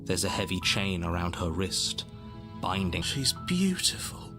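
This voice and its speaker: Grave, low-voiced storybook narrator, music behind. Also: male voice, big pitch swings, unhurried, heavily acted. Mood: stern, measured. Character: stern, ominous male storybook narrator urging the listener on. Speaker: game narrator. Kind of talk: scripted second-person horror narration.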